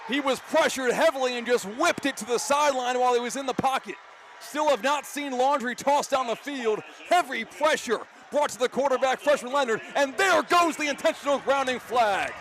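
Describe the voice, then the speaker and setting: An American man calling live football play-by-play for radio, excitable and given to shouting on big plays. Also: extremely high pitch, moderate pace. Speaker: play-by-play announcer. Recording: clear outdoor stadium background noise.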